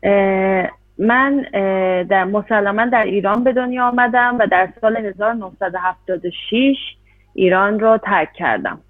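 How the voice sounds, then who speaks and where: Very fast woman interpreter on a tinny line. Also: mid-range voice, no echo. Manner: rapid, hesitant with fillers. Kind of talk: consecutive interpretation. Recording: dry, tinny phone-like sound.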